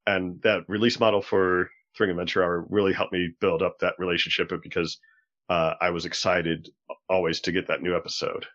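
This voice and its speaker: A man talking casually as a podcast guest, halting and pausing mid-thought as he goes. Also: sits low, level tone, fairly bright tone. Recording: dull lo-fi audio.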